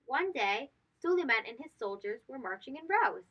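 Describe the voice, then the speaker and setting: A woman's voice: high voice, big pitch swings, moderate pace, muffled recording. A woman reading a children's storybook aloud, expressively and speaking directly to young listeners. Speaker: host of children's read-aloud show.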